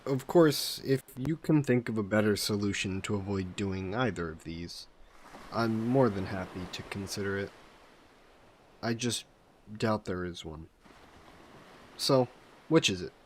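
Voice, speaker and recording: A somewhat low-pitched, possessive sea monster, acted by a man for a roleplay audio drama. He chooses formal, measured words and mixes cool threat with flirtation. There is noticeable background noise under the voice. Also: natural voice. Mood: flirty menace. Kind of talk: scripted roleplay audio drama, intimate monologue.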